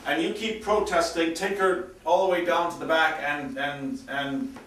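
Middle-aged man, drama director, emphatic, fairly high voice, echoey distant recording. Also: fairly even intonation, normal speed, fairly bright tone. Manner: emphatic, acts out lines while instructing. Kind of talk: directing actors in rehearsal. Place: room in a large house. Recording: echoey room, distant mic, background noise.

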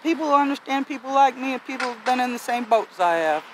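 A woman's voice: sits high, lively intonation, fast, noisy background. Blunt woman interviewed outdoors. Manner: blunt, earnest. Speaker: local resident interviewee. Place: outdoors beside a street.

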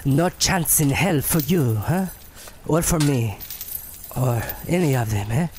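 Gruff French convict, played by a man, noisy background. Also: mid-range voice, expressive, takes it slowly, darkish tone. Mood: bleak, resigned. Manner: curt, world-weary, tags with "huh" and "eh". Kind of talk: audio drama acting.

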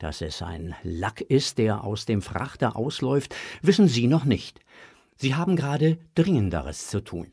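Lively male storyteller for children. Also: mid-range voice, a little slow, husky. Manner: dramatic storytelling. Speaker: narrator. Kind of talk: scripted narration for a children's audio drama.

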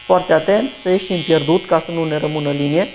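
A man's voice: fairly high pitch, background noise. A man in his thirties from Romania, a barber who explains each step of a haircut while he works, talking through it in a small shop.

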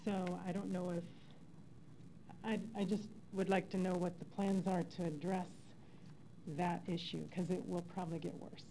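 A woman's voice: lowish voice, flat delivery, normal speed, mellow, poor audio. A middle-aged woman, a resident giving informal public comment at a podium microphone in a council chamber. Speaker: resident speaking at a public hearing. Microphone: gooseneck podium microphone. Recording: faint background noise, public meeting room.

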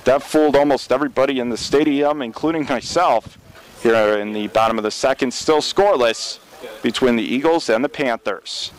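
A man doing radio play-by-play for a college baseball game, steady and measured, rising sharply when a play breaks open. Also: medium pitch, smooth, low-quality recording.

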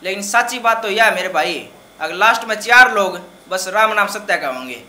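A man's voice: sits high, lively intonation, moderate pace, very noisy. A man in his twenties with a Haryanvi accent reciting shayari at an open mic in an emphatic, dramatic way. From Haryana, India. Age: 20s. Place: small dark indoor open-mic stage. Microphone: microphone on stand close in front.

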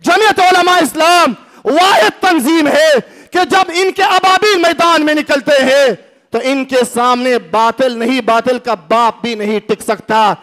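A middle-aged man with a Pakistani Urdu accent, giving an impassioned speech at a lectern in a packed hall, rising to shouts and rhetorical questions. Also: extremely high pitch, unhurried. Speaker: religious party speaker. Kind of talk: fiery rally speech. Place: large packed hall, indoors. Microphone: lectern mic.

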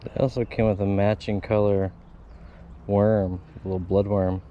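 A man's voice: fairly low pitch, little pitch movement, moderate pace, a little hiss or hum. A man chatting to viewers while fishing at a pond, calling each cast and bite as he goes. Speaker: fishing vlogger. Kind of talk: casual play-by-play while fishing. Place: outdoors by a pond. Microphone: head-mounted action camera. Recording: dull lo-fi outdoor camera audio.

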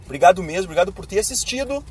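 Chatty hoarse southern Brazilian man driving. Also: high-pitched, expressive, rapid-fire, darkish tone. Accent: southern Brazilian, Rio Grande do Sul. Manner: chatty, addresses viewers directly. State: hoarse with a cold. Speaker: YouTuber. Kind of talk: casual driving vlog chat. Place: inside a car in city traffic. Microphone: camera mounted on dashboard.

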